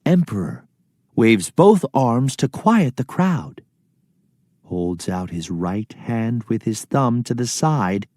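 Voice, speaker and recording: A man's voice: medium pitch, sing-song, slow pace, darkish tone, no echo, clean studio sound. A man narrating a scripted children's play, reading out stage directions and story.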